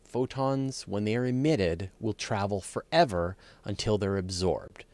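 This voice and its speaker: Deep-voiced man explaining science, dry close sound. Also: measured pace, a little mellow, dry recording. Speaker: host of a live space question-and-answer show. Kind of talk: casual off-the-cuff explanation of science questions.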